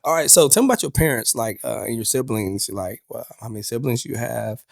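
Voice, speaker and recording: A man's voice: mid-range voice, lively intonation, brisk, creaky, no room sound, studio quality. A man hosting an interview in a casual, chatty, colloquial way. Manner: laid-back, chatty, colloquial. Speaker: podcast host.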